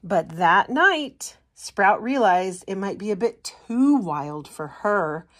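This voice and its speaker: A woman reading a children's picture book aloud as an expressive storyteller. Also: mid-range voice, takes it slowly. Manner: expressive storytelling, putting on character voices. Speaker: storytime reader.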